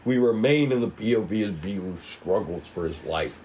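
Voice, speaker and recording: A man reading screen directions aloud at a table read, with measured, dramatic storytelling and casual asides. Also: fairly low pitch, lively intonation, a little mellow, a little hiss or hum. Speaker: writer and narrator of a table read. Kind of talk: scripted narration of screen directions.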